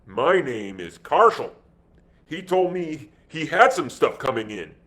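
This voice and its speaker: A man's voice: on the high side, big pitch swings, unhurried, bright, forceful tone, exaggerated voice. A man voicing a big, sly American gangster in an adventure story, slangy and sardonic. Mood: slyly friendly.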